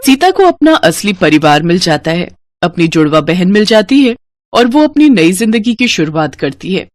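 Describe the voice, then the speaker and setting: Female narrator for a moral story, animated delivery, dry close sound. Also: on the low side, normal speed, no echo. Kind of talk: scripted moral story narration in character voices.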